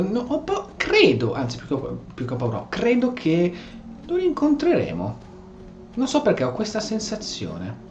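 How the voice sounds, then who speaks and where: Italian male gamer, casual commentary, very lively pitch, rambling, roomy sound with faint noise. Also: lo-fi sound. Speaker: gaming YouTuber. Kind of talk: casual video game playthrough commentary.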